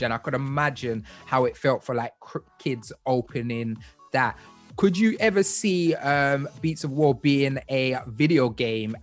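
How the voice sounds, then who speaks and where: A man hosting a podcast, chatting casually with slang and enthusiasm. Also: medium pitch. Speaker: podcast host. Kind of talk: casual interview.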